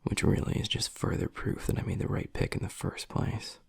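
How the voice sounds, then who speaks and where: A man playing a gruff young werewolf pack alpha and protective, secretly tender boyfriend, murmuring bluntly in a husky voice in an intimate audio roleplay. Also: brisk, no room sound, clean studio sound, lightly acted. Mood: stern, irritated. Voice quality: husky, gravelly, breathy low voice.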